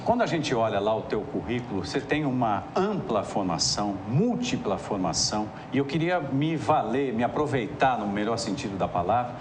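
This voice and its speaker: A man's voice: medium pitch, sing-song, moderate pace, few pauses. A man with a Brazilian accent hosting a TV interview in a chatty, effusive manner. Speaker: TV interviewer and host. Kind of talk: talk-show interview. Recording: clearly noisy TV interview sound.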